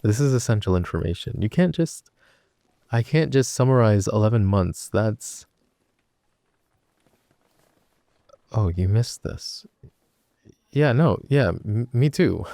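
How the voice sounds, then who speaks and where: A man's voice: fairly low pitch, lively intonation, gravelly, warm tone, no room sound, studio quality. A young man playing a chatty, teasing college best friend in an intimate roleplay, rambling wryly and interrupting himself. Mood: teasing, flustered. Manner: rambling, wry, halting with self-interruptions. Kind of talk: intimate boyfriend roleplay audio, casual storytelling.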